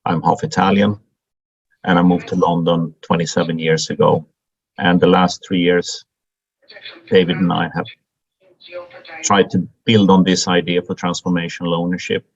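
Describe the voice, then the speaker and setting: A man who grew up in Sweden, introducing himself in a measured way on an online meeting. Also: low-pitched, little pitch movement, breathy edge. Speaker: meeting host. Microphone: video call. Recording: dull lo-fi video call audio.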